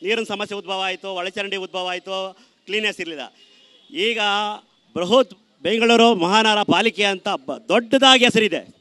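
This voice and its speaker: High, forceful oratorical man. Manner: oratorical, measured listing. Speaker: local resident giving opinion. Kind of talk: street vox pop complaint.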